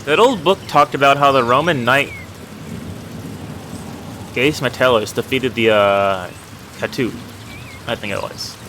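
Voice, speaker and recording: A man's voice: medium pitch, a little slow, long pauses, noisy background, natural voice. A man voicing a friendly, humble village miller in a video game, chatting in a folksy way about old legends. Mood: eager storytelling. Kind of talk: reading video game dialogue aloud.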